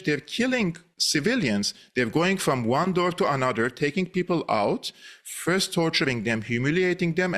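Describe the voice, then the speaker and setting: A man's voice: fairly high pitch, fairly bright tone. A middle-aged man from Syria with a Syrian accent, giving emphatic political commentary as an interview guest into a close studio mic. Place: small indoor studio. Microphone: studio boom mic, close.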